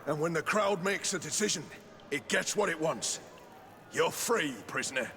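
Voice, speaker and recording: Flamboyant high-pitched arena master. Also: male voice, expressive, moderate pace, pushed and bright. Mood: impressed. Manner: flamboyant, formal, showman-like. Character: showy male arena master announcing fights to the crowd. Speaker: arena announcer. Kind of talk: theatrical fantasy game acting. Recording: long echoing reverb.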